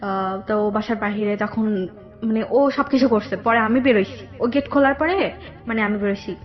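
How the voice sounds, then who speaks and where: A young woman with a Bangladeshi accent telling a personal story conversationally, haltingly, often circling back over details. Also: mid-range voice, muffled recording, faint music behind. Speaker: guest telling her life story.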